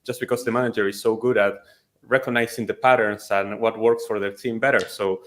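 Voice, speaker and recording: A man's voice: on the low side, steady tone, brisk. A man talking casually as a panelist in a football discussion.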